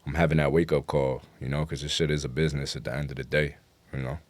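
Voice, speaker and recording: A man with a very low voice and a New York accent, recorded dry and close. He talks like a rapper being interviewed, loose and slangy, with plenty of casual swearing. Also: little pitch movement, fast talker, no echo. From New York City. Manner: slangy, profanity-laced, frequent "you know". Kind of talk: casual podcast interview talk.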